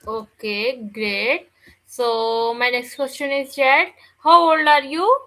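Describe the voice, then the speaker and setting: A woman's voice: sits high, fairly even intonation, takes it slowly, pushed and bright. A woman interviewer who asks formal, set questions one by one.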